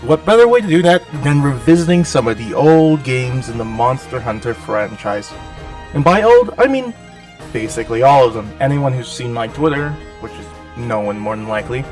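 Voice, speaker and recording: Guy with a cold narrating his game, nasally and kinda gravelly, jokey asides, mic a bit far off. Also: mid-range voice, animated, moderate pace, soft background music. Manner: chatty, self-deprecating, jokey asides. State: has a cold. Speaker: gaming channel host. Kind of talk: casual voice-over commentary on gameplay.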